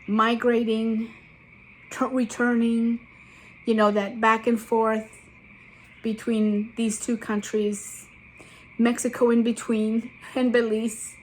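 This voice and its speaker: A woman's voice: on the high side, slight background noise, low-quality recording. A woman in her seventies, originally from Guatemala, chatting casually to her channel viewers as she tells her life story with digressive asides. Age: 70s. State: sweaty after a walk. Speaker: YouTube channel host. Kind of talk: casual personal storytelling to camera.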